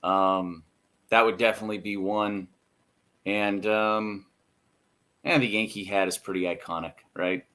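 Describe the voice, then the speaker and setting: An American man, a sports broadcaster, giving casual and measured answers as a podcast guest. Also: lowish voice, fairly even intonation, sharp tone.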